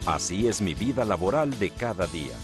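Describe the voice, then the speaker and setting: A man's voice: sits low, lively intonation. Polished Mexican broadcaster man. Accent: Mexican Spanish. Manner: measured, polished broadcaster delivery. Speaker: television news presenter. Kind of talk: travel documentary presenting and narration. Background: music.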